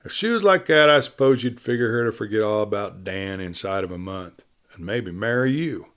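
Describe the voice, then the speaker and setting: A man's voice: fairly low pitch, animated, a little fast, a bit bright, clear, resonant tone. A man performs a tough cowboy from a western storybook. He preaches with unshakable conviction in an American Western drawl. His manner is folksy and opinionated, like someone schooling a younger partner. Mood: pitying, preachy.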